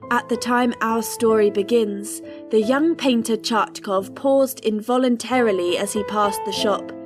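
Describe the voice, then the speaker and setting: A woman acting as the commanding storyteller of a radio play, narrating in a measured, deliberate, dramatic way. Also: medium pitch, lightly acted. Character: prim, commanding storyteller narrating a literary tale. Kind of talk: theatrical scripted narration.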